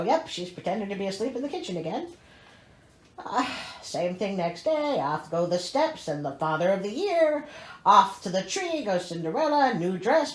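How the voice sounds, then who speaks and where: A woman's voice: high-pitched, roomy sound. A woman reading a storybook aloud as host, theatrical and wry, breaking in with exasperated, sarcastic asides.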